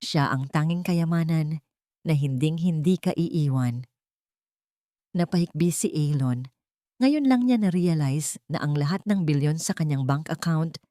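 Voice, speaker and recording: A woman's voice: on the low side, a little mellow, no echo, clean studio sound. A woman narrating a scripted drama as a storyteller, with dramatic delivery.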